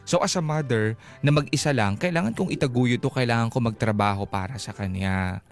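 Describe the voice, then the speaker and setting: A man's voice: mid-range voice, brisk. A Filipino man hosting a radio show in a conversational, empathetic way, recapping and explaining. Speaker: radio host. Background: background music.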